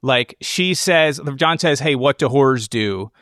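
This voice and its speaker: A man's voice: mid-range voice, expressive, slow, fairly bright tone, no room sound, clean studio sound. A man hosting a casual film discussion, thinking aloud in rambling, speculative explanations. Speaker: podcast host analyzing a film.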